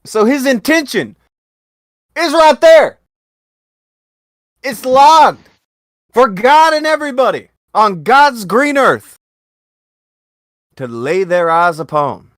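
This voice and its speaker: A man hosting a casual online talk show, joking and swearing, with bursts of loud excitement. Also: extremely high pitch, slow pace, long pauses, dry recording, studio quality. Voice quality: lively, swings into loud bursts. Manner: profane, jokey, talks with laughs. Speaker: podcast host. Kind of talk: casual chat.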